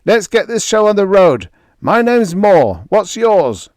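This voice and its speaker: A man reading an audiobook, voicing a big, hearty spaceman in his forties with a booming, blunt, slangy way of talking. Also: sing-song, takes it slowly, a little mellow, dry recording, heavily acted. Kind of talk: audiobook character voice.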